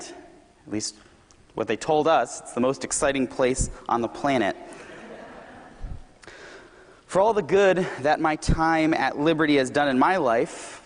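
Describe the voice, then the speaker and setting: A young man gives a Sunday sermon with an easygoing, joking warmth, his voice slightly hoarse. His words ring out with a long echo through a big church hall. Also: on the high side. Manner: relaxed, self-deprecating and conversational. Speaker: youth director and occasional preacher.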